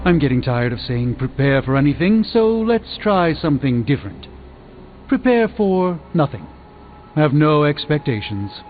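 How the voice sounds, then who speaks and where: An actor playing a learned sorcerer mentor in a video game, speaking in a grave, measured, formal way. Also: male voice, on the high side, big pitch swings. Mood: measured, concerned. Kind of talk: video game character dialogue. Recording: dull band-limited game audio, music behind.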